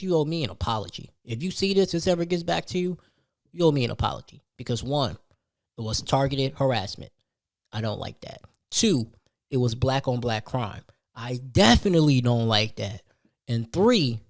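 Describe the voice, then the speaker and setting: Male podcast host, average pitch, emphatic and informal storytelling full of slang, repeated phrases and rhetorical questions. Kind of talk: casual solo rant.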